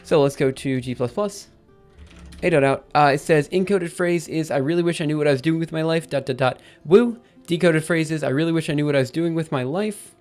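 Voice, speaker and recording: A man live-streaming his coding session, thinking aloud in an upbeat, chatty way while typing, his voice a little raspy. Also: a little high, fairly quick, darkish tone, soft background music. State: slightly raspy after a drink. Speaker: programming livestreamer. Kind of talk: live coding stream, casual talk-through.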